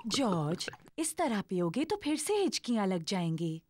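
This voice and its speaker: A woman voicing a caring, gently firm mother pig in a motherly way for a children's cartoon dub. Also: mid-range voice, sing-song, moderate pace, a little hiss or hum, lightly acted. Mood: cautioning.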